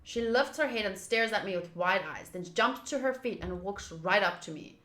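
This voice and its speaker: Casting: female game streamer, bright mid-pitched voice in an echoey room, narrating on-screen text with informal asides. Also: normal speed. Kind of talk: reading a visual novel aloud with casual asides to viewers. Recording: roomy echo. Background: game music.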